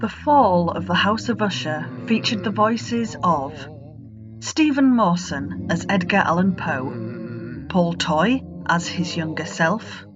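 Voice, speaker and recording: Woman reading end credits, really slow, slightly muffled, music under her. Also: fairly low pitch, expressive. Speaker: credits announcer. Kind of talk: scripted announcement. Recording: dull sound with music behind.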